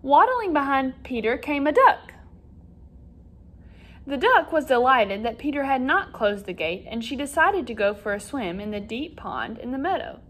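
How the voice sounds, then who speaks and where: A woman with a high voice teaches music to young children online. Her delivery is expressive, with lively, wide swings in pitch as she talks to the class and reads stories aloud. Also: normal speed. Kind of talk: children's online lesson and story read-aloud.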